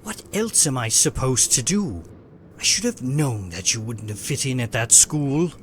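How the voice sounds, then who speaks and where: A man's voice: mid-range voice, animated, unhurried, a little hiss or hum, close to an everyday voice. A man playing a protective, dutiful older butler with a formal, proper way of talking in an audio drama. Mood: anguished, indignant. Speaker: voice actor.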